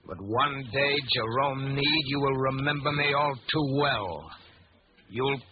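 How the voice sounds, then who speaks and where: An actor playing a coldly vengeful stranger who speaks with measured theatrical menace, in 1940s radio drama style. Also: male voice, medium pitch, close to an everyday voice. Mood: menacing. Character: ominous male stranger foretelling death, coldly vengeful. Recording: muffled, noisy old radio recording.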